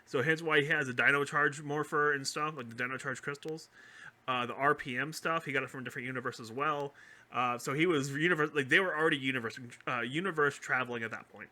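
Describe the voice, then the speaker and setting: A man chatting casually as a podcast co-host, explaining fan theories in an animated, geeky way and often correcting himself. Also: medium pitch, fast.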